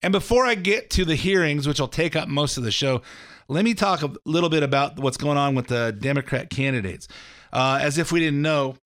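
A middle-aged man hosts his own talk show, his voice slightly scratchy from a seasonal cold. He rambles casually and restarts sentences often, sitting a little high in pitch. The sound is dry and close, with no room around it. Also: expressive, fairly quick, fairly bright tone, dry recording. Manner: rambling, folksy, lots of fillers and restarts. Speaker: radio show host and mortgage lender. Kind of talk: casual political talk radio monologue.

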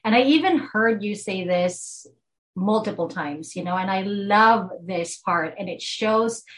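A woman's voice: mid-range voice, a little slow. A woman with a Filipino accent co-hosting a faith podcast, chatty and affirming, often chiming in with small agreements. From Philippines. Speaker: podcast co-host.

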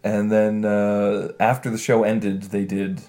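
A man's voice: fairly low pitch, slow pace. Tired Canadian man on a podcast. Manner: animated, acts out quotes in silly voices. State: tired, short on sleep. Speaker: podcast co-host. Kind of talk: casual chat.

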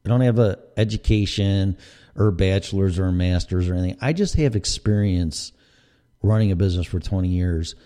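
A man's voice: sits low, fairly quick, dark tone. A middle-aged man with a Chicago accent, hosting a podcast in a blunt, profane and sarcastic casual rant. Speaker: podcast host.

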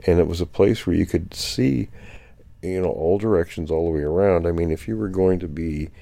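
Man, very low voice, mellow, dry close sound, rambling storyteller. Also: gravelly, dry recording. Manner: rambling, self-correcting storyteller. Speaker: guest recounting field experiences. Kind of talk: casual conversation.

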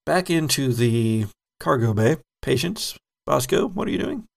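Male game master, creaky mid-pitched voice, descriptive improvised horror narration at the table. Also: lively intonation, a little slow.

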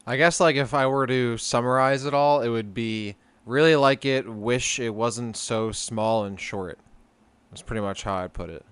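A man's voice: medium pitch, fairly bright tone, smooth, no echo. A man in his late twenties co-hosting a gaming podcast, chatty and upbeat. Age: 20s. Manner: chatty and upbeat, quick to laugh. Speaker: podcast co-host. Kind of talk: casual gaming podcast chat.

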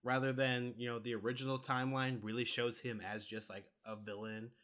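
A man co-hosting a podcast, giving a casual review and explaining things in a hesitant way, pausing mid-thought. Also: mid-range voice, little pitch movement, fairly bright tone. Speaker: podcast co-host reviewing a film.

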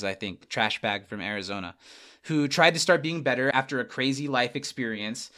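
A man's voice: mid-range voice, fairly bright tone. A young man hosting a podcast, casually recapping a TV episode and retelling scenes with quoted lines. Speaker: podcast host.

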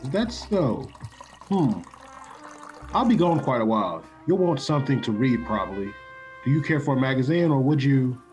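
A man playing an offbeat, polite and unruffled gentleman dog owner in a comedic radio play. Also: medium pitch, big pitch swings, moderate pace, faint music behind, close to an everyday voice. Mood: polite, casual.

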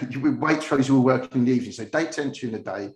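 An echoey recording of a man hosting a podcast interview. He has a mid-pitched voice and talks in a chatty, rambling style with a jokey streak. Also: fast talker. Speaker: podcast host. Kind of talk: casual conversational interview. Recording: roomy, echoey sound.

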